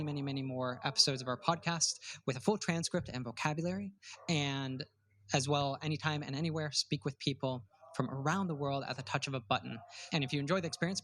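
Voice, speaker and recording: A man's voice: medium pitch, a bit bright, slight background noise. Upbeat man hosting a podcast. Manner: upbeat, enthusiastic. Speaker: podcast host. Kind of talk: podcast hosting and promo read.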